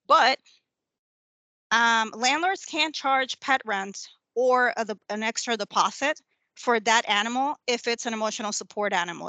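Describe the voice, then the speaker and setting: A woman's voice: on the high side, bright, forceful tone, dry recording. A woman lawyer giving an informal talk on tenant rights, with frequent ums and restarts. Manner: informal, many fillers and restarts. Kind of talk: educational presentation.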